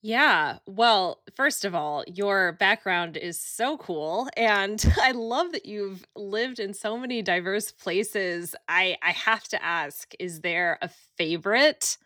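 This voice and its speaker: A woman's voice: mid-range voice, slow pace, hardly any pauses, bright, forceful tone, no room sound. A woman hosting a podcast interview, speaking in a bubbly, enthusiastic way. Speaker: podcast host.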